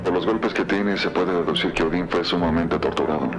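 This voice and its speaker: An actor playing a calm, methodical forensic examiner in a crime audio drama, explaining things in a measured way. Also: male voice, low-pitched, lightly acted. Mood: clinical, reflective. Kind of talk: scripted crime drama acting. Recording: studio drama with music underneath.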